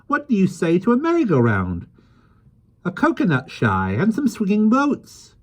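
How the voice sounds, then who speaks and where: A man's voice: high voice, very animated, moderate pace, voice pushed up. A man reading a storybook aloud, voicing a cheerful, chatty little carpenter with quaint old-fashioned sayings.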